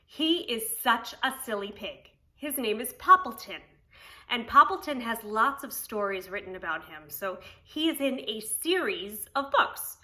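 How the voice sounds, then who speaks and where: Slow, animated woman reading to preschoolers. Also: fairly high pitch. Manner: expressive, simple explanations addressed to small children. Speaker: preschool teacher reading aloud. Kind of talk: read-aloud storytelling for young children with explanatory asides.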